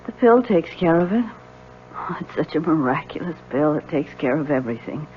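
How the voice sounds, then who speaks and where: A frail, loving wife confined to bed in an old radio play. Her delivery is drowsy and gentle. The sound is very thin, like a phone line, with a faint hum behind. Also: sits low, lively intonation, moderate pace. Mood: drowsy, resigned. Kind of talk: 1970s radio drama acting.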